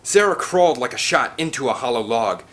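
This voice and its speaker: A man's voice: medium pitch, lively intonation, a little fast, fairly bright tone. A man reading a children's adventure novel aloud with an emphatic, theatrical delivery. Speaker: audiobook reader. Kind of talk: dramatic scripted narration of a children's adventure novel.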